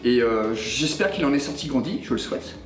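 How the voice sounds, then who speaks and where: A man in his forties, a youth football coach, speaking in a measured interview with frequent hesitations. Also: medium pitch, lively intonation. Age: 40s. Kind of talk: documentary interview. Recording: roomy, distant mic.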